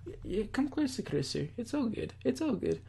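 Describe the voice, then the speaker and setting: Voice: mid-range voice, sing-song, brisk, vocal fry, mellow, a little hiss or hum, pitched above the performer's own voice. A gaming host voicing a jittery, grandiose young male mad scientist, theatrical and stammering, from a visual novel. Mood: flustered.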